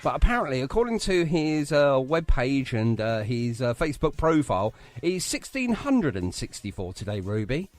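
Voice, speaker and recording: A man's voice: medium pitch, sing-song, moderate pace, few pauses, soft background music. A man hosting a live radio show in a chatty, jokey way.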